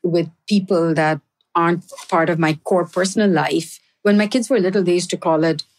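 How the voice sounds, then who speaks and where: A woman's voice: on the low side, steady tone, moderate pace, a little mellow. Candid woman with an Indian accent. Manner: candid, earnest, says "frankly" and "right?" often. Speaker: guest, health system executive. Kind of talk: reflective interview answers.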